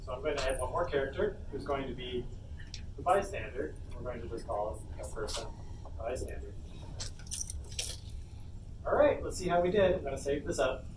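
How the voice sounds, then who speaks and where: A man's voice: medium pitch, animated, moderate pace, pauses often. A man presenting a casual live workshop demonstration, joking in a self-deprecating way. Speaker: panel presenter running a workshop. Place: convention panel room before a small audience. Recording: echoey room, distant mic, noisy.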